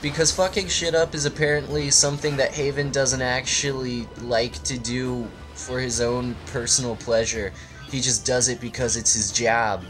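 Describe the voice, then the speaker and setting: Male let's play storyteller, mid-range voice, rambling and crude tongue-in-cheek delivery, clear music underneath. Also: mid-range voice. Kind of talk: improvised comedic storytelling. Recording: music behind the voice.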